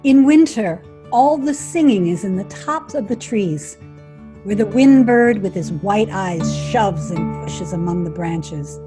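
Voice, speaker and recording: A woman reading a poem aloud in a measured recital style. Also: mid-range voice, expressive, music in the background. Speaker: poetry reader.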